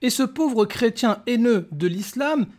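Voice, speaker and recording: A man's voice: very high-pitched, animated, unhurried, a little mellow. A man hosting a polemical commentary, talking in a mocking, combative and emphatic way. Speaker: YouTube host.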